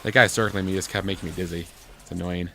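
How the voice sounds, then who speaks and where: Low-voiced man bantering over a game, noisy recording. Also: steady tone, quick pace, sharp tone. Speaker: gaming video co-host and player. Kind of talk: casual banter while playing a game. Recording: noisy home gameplay recording.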